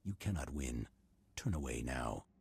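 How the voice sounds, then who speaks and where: A stern old Jedi master from a video game. The voice is extremely deep and heavily husked. He speaks formally and solemnly, calm and unyielding, with measured weight. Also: no echo, full character voice. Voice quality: very deep, husky.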